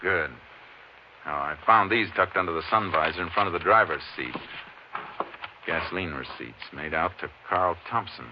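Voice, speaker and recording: A man playing a steady, observant Texas Ranger in a 1950s radio western, speaking in a clipped, matter-of-fact way. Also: low voice, moderate pace, lightly acted. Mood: businesslike. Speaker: lead actor in a radio western. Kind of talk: 1950s radio drama acting and first-person narration. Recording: noisy, muffled vintage radio recording.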